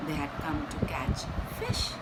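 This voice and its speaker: A woman reading a picture book aloud to young children, expressively, pausing to ask them simple questions and explain things. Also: low-pitched, huge pitch swings. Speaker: children's storyteller and channel host. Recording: clear background noise.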